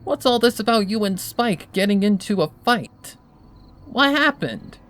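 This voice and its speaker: A man's voice: high voice, lively intonation, a little slow, a bit bright, pitched above the performer's own voice. A man voicing a brash, impatient young female pegasus pony in a fan fiction reading, blunt and pushy. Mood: agitated, demanding.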